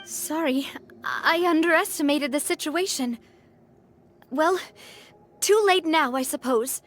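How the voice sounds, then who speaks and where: High-voiced, kindly young woman leader. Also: slow pace, a little hiss or hum. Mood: worried, decisive. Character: kind, responsible young woman boss of a close-knit band. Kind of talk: video game voice acting. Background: faint music.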